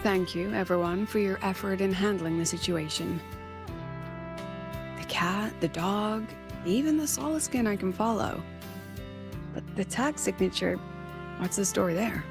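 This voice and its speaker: An actress playing a composed, authoritative academy president in game dialogue, formal and measured. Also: female voice, medium pitch, over music, close to an everyday voice. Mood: composed, inquiring. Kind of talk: video game cutscene dialogue.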